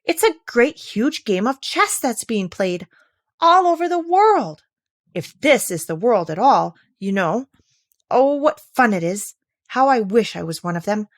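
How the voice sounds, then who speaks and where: A woman's voice: fairly high pitch, big pitch swings, measured pace, no room sound, clean studio sound. A woman voicing a curious, polite young girl in a storybook, with an earnest, wondering manner. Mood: excited delight.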